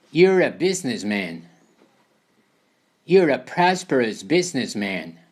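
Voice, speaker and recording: A man reading a scripted beginner language lesson as a teacher, deliberately delivering short example sentences phrase by phrase. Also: mid-range voice. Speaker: English teacher.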